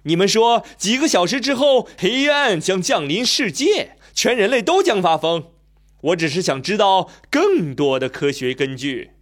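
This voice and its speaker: Man acting a high-voiced young male reporter. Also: big pitch swings, unhurried, full character voice. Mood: polite, probing. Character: inquisitive young male newspaper reporter, polite but sceptical. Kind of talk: Chinese radio drama acting.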